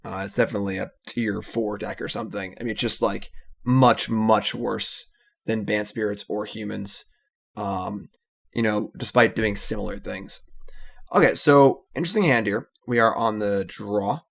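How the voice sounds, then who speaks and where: Low gravelly man casually commentating a card game. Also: normal speed. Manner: relaxed, analytical, trailing off with "so". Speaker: card game streamer commentating his play.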